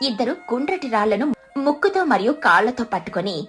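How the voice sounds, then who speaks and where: A woman's voice: fairly high pitch, big pitch swings, quick pace, fairly bright tone, soft background music. A woman narrator reading a scripted children's story.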